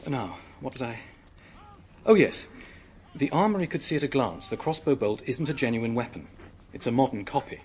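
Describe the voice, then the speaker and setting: An actor playing an earnest, polite young British secretary who is anxious and hesitant, in a radio drama. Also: male voice, medium pitch, expressive, normal speed, a little hiss or hum, natural voice. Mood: flustered, apologetic. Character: earnest, flustered young English male secretary, helpful and anxious.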